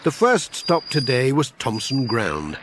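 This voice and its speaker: A man's voice: mid-range voice, big pitch swings, a little slow, a bit bright, a little hiss or hum. A man narrating a children's audiobook as a scripted storyteller.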